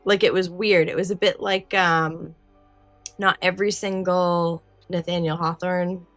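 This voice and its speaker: A woman's voice: fairly low pitch, little pitch movement, measured pace, a bit bright, no room sound, soft background music. A woman co-hosting a podcast in casual discussion, thinking aloud in hypotheticals and asides. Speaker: podcast co-host.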